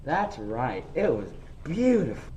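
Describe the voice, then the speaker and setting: A man playing an earnest professor and family father, haltingly recounting a marvel in a radio play. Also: on the high side, sing-song, mellow. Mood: wondering. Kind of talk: radio play storytelling in verse. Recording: echoey room, faint noise.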